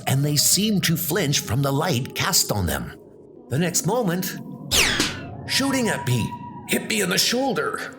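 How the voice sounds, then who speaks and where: A man acts out a wounded knight recounting his ordeal in a comic-book audio drama. He sounds alarmed and breathless, exclaiming with big swings in pitch, yet the pace is slow and deliberate. Music plays clearly underneath. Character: wounded male knight gasping out his alarmed survivor's account.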